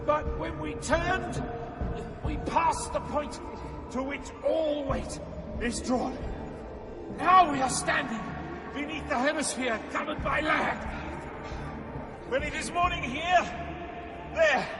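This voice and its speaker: Virgil, slow high-voiced commanding guide. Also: male voice. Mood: patient explaining. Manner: declamatory, urgent, deliberate. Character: Virgil, commanding, solemn male poet guide through Hell. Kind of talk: dramatized audio poem acting. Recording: loud music under the voice.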